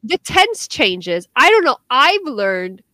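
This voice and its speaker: A woman's voice: sits high, very animated, slow pace, pushed and bright, no echo. A young woman from Norway, a podcast co-host chatting casually and animatedly, with many restarts and fillers. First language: Norwegian. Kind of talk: casual chat.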